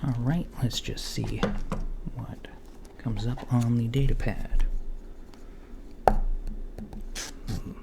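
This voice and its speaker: A man playing a mild, puzzled alien doctor in a soft, breathy, often whispered ASMR roleplay, with hesitant, gently deadpan murmuring. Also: medium pitch. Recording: lo-fi home recording, faint background noise.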